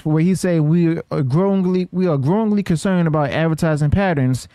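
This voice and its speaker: A man's voice: fairly high pitch, mellow, clear, resonant tone, dry recording. A man hosting news commentary, partly reading from notes, who stumbles and corrects himself and often checks in with the listener. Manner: halting delivery with stumbles and self-corrections. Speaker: host of a news commentary channel.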